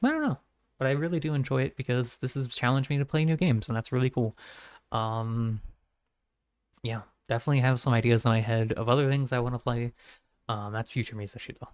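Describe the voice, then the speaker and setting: A man streaming games from home who talks in a flat, rambling way and often corrects himself. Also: medium pitch, fairly quick, smooth, no echo. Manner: monotone, rambling, self-correcting. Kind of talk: casual stream sign-off chat.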